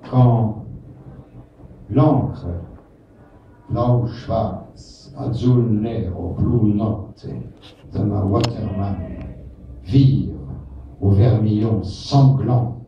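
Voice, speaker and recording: A man giving a live spoken-word poetry recitation in short, deliberate, incantatory phrases. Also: mid-range voice, dark tone. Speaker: poetry reader. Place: indoor reading stage, echoey, distant from mic. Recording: echoey room, distant mic, muffled, faint noise.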